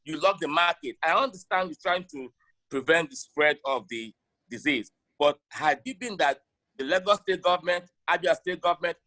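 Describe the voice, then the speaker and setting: A man's voice: a little high, pushed and bright, low-quality recording. A man with a Nigerian Igbo accent, giving his opinions in an interview in an emphatic way, with short rhetorical questions. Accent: Nigerian Igbo-language accent. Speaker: interviewee, sports broadcaster.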